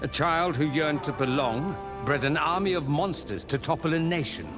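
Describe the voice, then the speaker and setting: An actor playing an erudite, wry mage scholar in a fantasy video game, eloquent and theatrical. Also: male voice, fairly high pitch, expressive, moderate pace, close to an everyday voice. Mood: wry, thoughtful. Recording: loud music behind.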